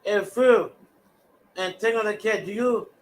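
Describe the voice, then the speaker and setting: A man's voice: high voice, a little slow. A man chatting offhand on a livestream, reading out names from the chat.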